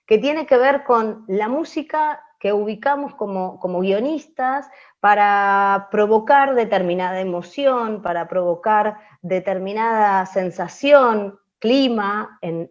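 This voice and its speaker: A woman's voice: mid-range voice, a little mellow. Argentine woman teaching, dull lo-fi sound. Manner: didactic, repeats phrases for emphasis. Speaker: radio language teacher. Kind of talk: explanatory open class. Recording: dull lo-fi audio.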